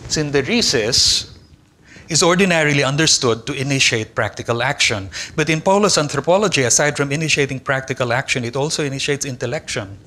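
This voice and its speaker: Older male lecturer, Tagalog his first language; bright, measured voice, reads deliberately at a lectern mic in a lecture hall. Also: medium pitch. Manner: measured, deliberate reading with careful pauses. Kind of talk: academic conference lecture read from a text. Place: large lecture hall with an audience. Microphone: lectern microphone.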